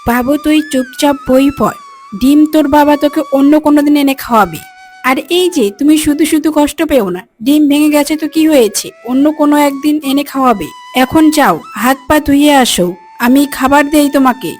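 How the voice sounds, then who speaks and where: A woman voicing a brisk, scolding but caring village mother in a cartoon story. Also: high-pitched, darkish tone, close to an everyday voice. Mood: firmly comforting. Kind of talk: cartoon moral story dialogue. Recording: music under the voice.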